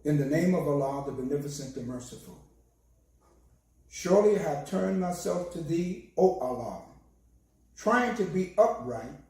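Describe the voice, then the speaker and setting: A hoarse man, a minister, giving a slow and solemn sermon with a recited prayer. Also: fairly high pitch, mellow. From United States. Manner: slow, measured, solemn delivery. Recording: very echoey, distant from the mic.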